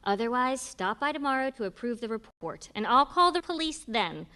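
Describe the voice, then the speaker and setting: A woman's voice: fairly high pitch, lively intonation, normal speed, sharp tone, clean tone. An actress playing a practical, wry woman who runs a hotel, in a businesslike manner, in a radio mystery. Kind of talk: radio mystery drama acting.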